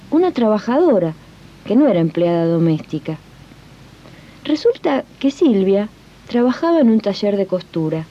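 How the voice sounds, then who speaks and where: A woman playing a plain-spoken Argentine domestic worker and union comrade who narrates a 1980s radio drama conversationally. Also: mid-range voice. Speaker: narrator and host of radio serial. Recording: dull 1980s archive radio tape.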